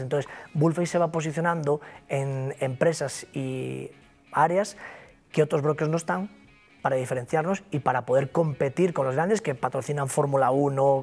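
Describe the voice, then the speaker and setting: A man's voice: fairly high pitch, a little mellow. A man with a Galician Spanish accent, a guest in an interview, speaking emphatically and repeating himself in a motivational way. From Galicia, Spain. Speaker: interview guest, broker company founder. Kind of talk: interview conversation.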